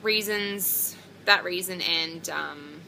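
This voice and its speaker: A woman's voice: fairly low pitch, slow, vocal fry, sharp tone, a little hiss or hum, poor audio. A woman, chatting casually to camera about her pregnancy in a rambling way with lots of ums and likes. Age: 20s. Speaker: pregnancy vlogger.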